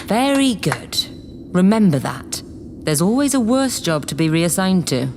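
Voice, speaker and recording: A woman's voice: fairly low pitch, sing-song, measured pace, background noise. A woman playing an imperious, scheming acting leader of a spaceship in a sci-fi comedy audio drama, commanding, condescending and sarcastic. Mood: stern, commanding.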